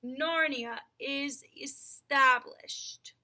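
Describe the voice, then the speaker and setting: Woman voicing a slow, solemn lion king. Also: sits high, bright, forceful tone, a little hiss or hum, heavily acted, rough recording. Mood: grave, commanding. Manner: stately, formal, deliberate.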